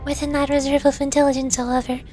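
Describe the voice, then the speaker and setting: A woman voicing a cool, formal young researcher who lectures in a measured way, her voice going hoarse. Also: high voice, little pitch movement, warm tone, faint music behind, lightly acted. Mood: explaining. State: losing her voice.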